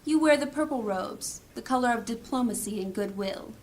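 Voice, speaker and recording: A woman's voice: on the high side, normal speed, close to an everyday voice. An actress playing a hard, commanding woman thief in a fantasy audio drama, sardonic and menacing. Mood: cold, persuasive.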